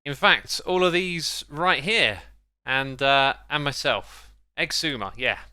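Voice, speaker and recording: A man's voice: a little high, lively intonation, slow pace, sharp tone, smooth, no room sound. A man giving casual, chatty gameplay commentary as a video host, with playful exclamations, close on the mic.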